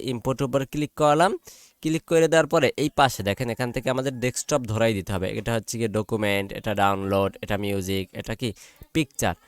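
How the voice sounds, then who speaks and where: A man with a Bangladeshi accent giving an informal step-by-step software tutorial, repeating himself and often checking in with listeners. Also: medium pitch, no echo. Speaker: tutorial presenter.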